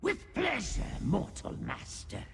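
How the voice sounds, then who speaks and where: Tiny pompous demon for a game, slow n dramatic, long reverb, croaky. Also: male voice, high-pitched, huge pitch swings, heavily acted. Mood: devoted, solemn. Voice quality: gravelly, creaky. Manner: grandiose, refers to himself in third person. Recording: long reverb, clear background noise.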